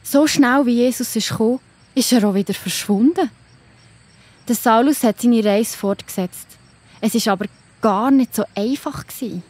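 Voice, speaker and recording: A woman with a Swiss German accent narrating a Bible story for children in a gentle, explaining manner. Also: a little high, moderate pace, husky.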